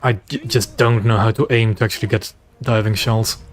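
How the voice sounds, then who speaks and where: A man's voice: lowish voice, moderate pace. Flemish man casually streaming a game. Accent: Flemish Dutch. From West Flanders, Belgium. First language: Dutch. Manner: relaxed, rambling, answers chat between game calls. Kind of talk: casual gameplay stream commentary.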